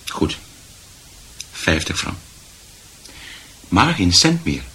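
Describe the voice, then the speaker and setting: A male actor playing a grudging, tight-fisted middle-aged husband in a radio drama, husky and stumbling over repeated words. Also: low-pitched, sing-song, extremely slow, pushed and bright, exaggerated voice. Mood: concerned, grudging. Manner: stammering repetition of words. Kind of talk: Dutch radio drama acting.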